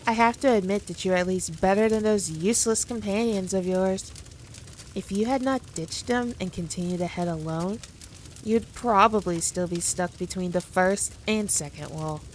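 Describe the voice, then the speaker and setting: A haughty demon queen, acted by a woman, addresses the listener in a mid-pitched voice. She is smug and dominant, taunting with playful condescension in an ASMR roleplay, over noticeable background ambience. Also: normal speed, a little hiss or hum, lightly acted. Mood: smug, condescending.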